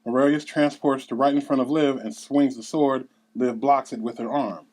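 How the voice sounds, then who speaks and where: A man's voice: mid-range voice, fairly even intonation, moderate pace, darkish tone. A man narrating a script at a table read, reading out scene headings and action. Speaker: narrator reading stage directions.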